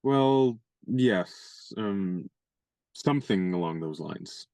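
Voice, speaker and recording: Male actor as a courtly, wordy young gentleman; radio play, dry close sound. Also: deep voice, animated, very slow, no room sound, heavily acted. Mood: persuasive. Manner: courtly, elaborate, playfully pompous phrasing. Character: genial young gentleman adventurer, chivalrous and wordy.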